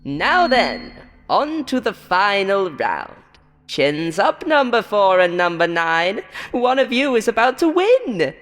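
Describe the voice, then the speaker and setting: A woman's voice: medium pitch, big pitch swings, slow, big-room sound, faint music behind, voice pushed up. A woman voicing a sinister, inhuman game show host in a horror story, taunting and condescending with theatrical showman patter. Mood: showy, falsely cheerful. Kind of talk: horror audio story character voice.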